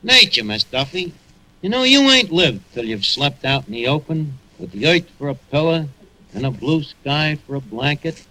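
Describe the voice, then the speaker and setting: Sharp, bright New York wise guy. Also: on the high side, very animated, moderate pace, heavily acted, low-quality recording. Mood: pompous, mock-poetic. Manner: slangy ungrammatical wise guy. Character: wisecracking lowbrow tavern manager with big pretensions. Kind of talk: 1940s radio comedy acting. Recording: old radio recording, faint noise.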